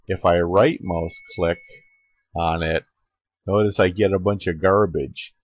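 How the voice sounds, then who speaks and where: Slow, deep-voiced man giving a tutorial. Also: no room sound, dull audio. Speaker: amateur radio operator demonstrating software. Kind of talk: informal screen-capture tutorial narration.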